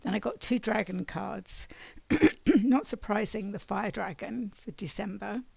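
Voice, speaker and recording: A raspy-voiced woman giving a spiritual monthly oracle card reading, meandering and often clearing her throat. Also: mid-range voice, no echo.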